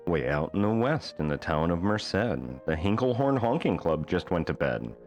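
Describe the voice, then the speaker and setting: A man reading a rhyming children's bedtime book aloud as an expressive storyteller. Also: low voice, moderate pace, faint music behind.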